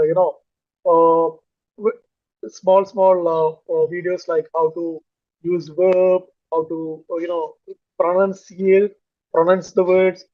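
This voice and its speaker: Hesitant Malayali-accented man, high voice. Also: no echo, narrow, tinny audio. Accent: Indian English, Malayali. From Kerala, India. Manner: hesitant, many fillers and restarts. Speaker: English learner, finance manager. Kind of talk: casual conversation on a video call.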